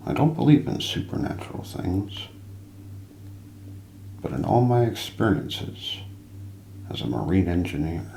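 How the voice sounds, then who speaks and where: Slow, gravelly, low-voiced man narrating mysteries. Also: dark tone. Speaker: narrator and host of an ASMR role play. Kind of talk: ASMR scripted mystery documentary narration. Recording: roomy echo, light background noise.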